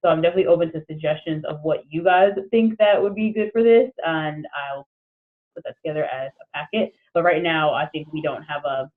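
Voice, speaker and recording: A man's voice: on the high side, a little fast. A man casually explaining ideas to colleagues on a work conference call, heard over a phone line. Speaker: committee member.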